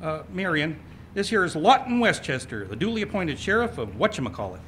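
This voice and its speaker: A man plays a folksy, naive newspaper reporter in a comic radio play. He is breezy and chirpy, with a very animated rise and fall to his lines. Some noise sits behind the voice. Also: high-pitched, a little fast. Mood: chatty. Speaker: voice actor. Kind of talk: comic audio drama acting. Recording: noticeable background noise.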